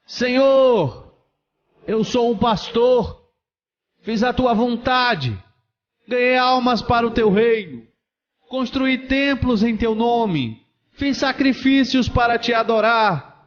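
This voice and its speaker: A man's voice: very high-pitched, animated, takes it slowly, voice pushed up, exaggerated voice. A man voicing an anguished male pastor in a religious story, crying out and pleading insistently. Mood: desperate pleading. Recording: muffled, noticeable background noise.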